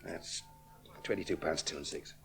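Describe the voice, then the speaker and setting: A brash, swaggering aviator. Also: male voice, low-pitched, moderate pace, vocal fry, music in the background. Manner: swaggering, sardonic. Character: brash, boastful male aviator.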